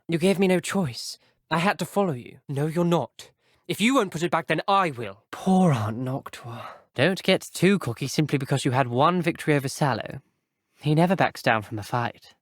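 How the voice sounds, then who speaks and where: An actor voicing an earnest, troubled teenage boy wizard in a video game, wary of dark magic, speaking in a measured, grave and articulate way. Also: a little high, animated, dry recording, clean studio sound, lightly acted. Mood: anxious, insistent. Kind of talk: video game character dialogue.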